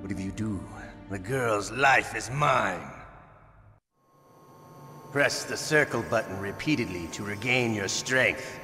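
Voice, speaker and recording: An actor playing a sadistic, theatrical interrogator in a video game, with a menacing, taunting, drawn-out delivery. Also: male voice, on the low side, bright, forceful tone, faint music behind. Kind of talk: video game villain acting. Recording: echoey metallic room, faint noise.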